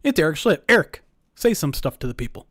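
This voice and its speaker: A man hosting a sports podcast, his voice raspy, chatty and joking at his own expense. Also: on the high side, sing-song, fast, a bit bright, no echo, studio quality. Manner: chatty, self-mocking, laughs easily. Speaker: podcast host and producer. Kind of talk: casual podcast chat.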